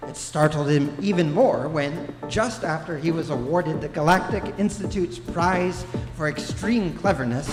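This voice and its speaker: A man playing an erudite guidebook narrator, delivering dry, measured scripted narration in a live radio play. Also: a little high. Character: wry, erudite male narrator of a galactic guidebook. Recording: echoey hall with music behind.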